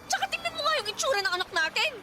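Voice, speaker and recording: A woman's voice: very high voice, sing-song, racing, very noisy. A woman playing a fed-up young mother in a radio drama, scolding her partner with exclamations and rhetorical questions. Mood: furious, scolding.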